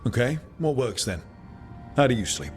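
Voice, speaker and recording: An actor playing a friendly, plain-spoken adventurer hero in a video game, talking casually and briefly. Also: male voice, medium pitch, very animated, normal speed, faint music behind, lightly acted. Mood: quietly conversational. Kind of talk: video game character acting.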